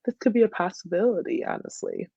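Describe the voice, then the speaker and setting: Young American woman, twenties, giggly casual podcast guest, mid-range pitch, dry but slightly muffled lo-fi recording. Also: quick pace, vocal fry, husky, dry recording. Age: 20s. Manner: giggly, animated storytelling with quoted dialogue. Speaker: podcast guest, soccer player. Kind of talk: casual interview chat.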